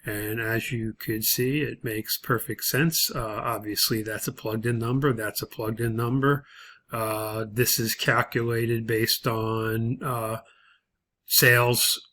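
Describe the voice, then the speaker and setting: Man giving a halting, unscripted tutorial. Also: medium pitch, level tone, pushed and bright. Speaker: instructor. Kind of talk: spreadsheet tutorial walkthrough, unscripted.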